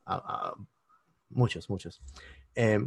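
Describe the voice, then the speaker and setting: A man with a Cuban accent, a podcast guest telling his story in lively casual conversation and bursting into high exclamations at the big moments. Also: slow pace, creaky, husky, dry recording. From Cuba. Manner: animated, jumps into high-pitched exclamations when retelling moments.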